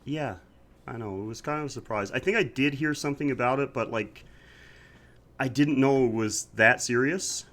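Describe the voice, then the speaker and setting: A man's voice: medium pitch, normal speed. A man co-hosting a casual podcast, talking at length with a congested, slightly scratchy voice. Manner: relaxed, talkative, reviews with prepared facts. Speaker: podcast co-host.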